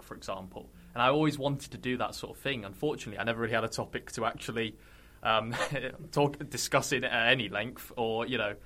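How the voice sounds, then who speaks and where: A man's voice: medium pitch, sharp tone. A man from Somerset, a podcast guest chatting casually with frequent ums and laughs. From Somerset, England. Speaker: guest, YouTuber.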